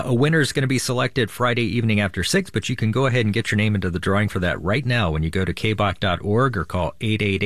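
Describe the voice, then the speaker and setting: A man's voice: fairly low pitch, no echo. Upbeat man radio host, dry studio. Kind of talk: public radio pledge drive appeal. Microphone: studio microphone.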